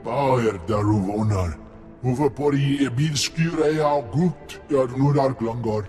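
A man's voice: medium pitch, slow, darkish tone, soft background music, natural voice. An actor voicing a male alien in a video game, speaking an invented tongue. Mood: measured.

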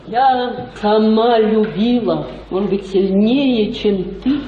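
A woman's voice: medium pitch, slow pace, far from the mic, a little hiss or hum, lightly acted. An actress playing a scheming, sentimental middle-aged provincial mother in a radio play, declamatory, effusive and grandly persuasive. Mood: fervent, wounded. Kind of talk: theatrical Russian radio play acting. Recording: dull, roomy sound, off the mic.

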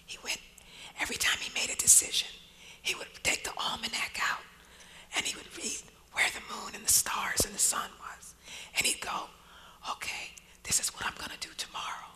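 A woman poet telling personal stories as a guest speaker, in a hushed, whispering delivery. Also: normal speed, poor audio. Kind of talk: personal storytelling at a live talk.

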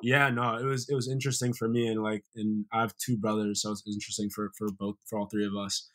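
A man's voice: fairly low pitch, fast. A young man from Sierra Leone with an American accent, telling stories as a guest in a casual interview over a video call. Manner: relaxed storytelling, many fillers. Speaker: guest, former college soccer player. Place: bright room indoors, video call.

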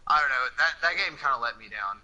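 A man in his mid-twenties chatting casually as a podcast co-host, quick to laugh. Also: mid-range voice, expressive, bright, forceful tone, low-quality recording. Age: 20s. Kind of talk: casual chat.

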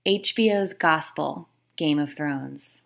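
A woman's voice: low voice, slow, vocal fry. A woman who is an author, reading her own book aloud as scripted narration.

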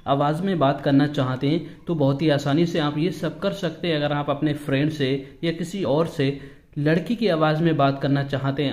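A man's voice: a little high, a little mellow. A man hosting an instructional app walkthrough, explaining each step repetitively. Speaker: tutorial host.

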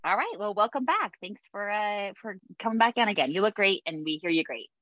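A woman's voice: mid-range voice, expressive, a little fast, no echo. A woman hosting a Navy mentoring session over a video call, chatty, with many fillers and easy laughs. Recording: dull dry video-call audio.

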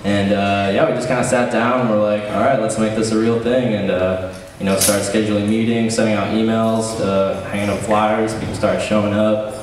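A young man hosts a student cultural night from a stage, loose and chatty with plenty of fillers. His voice sits fairly low. The recording is distant and washed in long hall reverb, with a faint hum behind it. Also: moderate pace. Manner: relaxed, chatty, many fillers. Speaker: emcee of a student cultural show. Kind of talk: casual stage hosting. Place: large event hall on a stage. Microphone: handheld mic heard through hall speakers. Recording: echoey hall from far off.

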